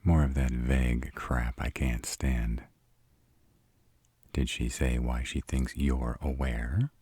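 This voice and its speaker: A man playing a sarcastic, brooding vampire with a soft spot, dry and grudging, in a roleplay audio drama. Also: a bass voice, level tone, slow, husky, no echo, full character voice. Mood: suspicious, sardonic.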